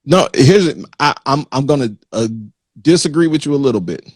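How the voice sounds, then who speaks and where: A man hosting a casual interview, talking informally with slang in a halting way and often checking that he is followed. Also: medium pitch, sing-song, a little mellow, husky, dry recording, studio quality. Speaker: interviewer, magazine host.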